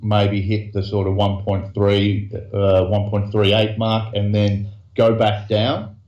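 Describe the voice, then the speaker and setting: A man's voice: fairly low pitch, completely flat delivery, darkish tone. Hesitant man in an echoey room. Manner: hesitant, explaining slowly with restarts. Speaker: guest trader. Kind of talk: casual trading chat. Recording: echoey, dull lo-fi sound.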